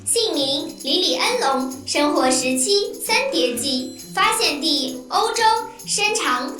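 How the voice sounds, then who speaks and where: An actor voicing a cartoon scanning gadget that recites dinosaur facts item by item in a measured way, with an echoing, distant sound. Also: high-pitched, expressive, over music. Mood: informative. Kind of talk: scripted encyclopedia narration.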